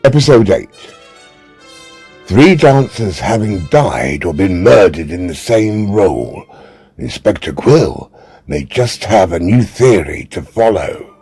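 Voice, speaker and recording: Slow, mellow male announcer over music. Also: sits low, big pitch swings, slightly rough. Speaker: programme announcer introducing episodes. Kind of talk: scripted radio-style introduction. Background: violin music.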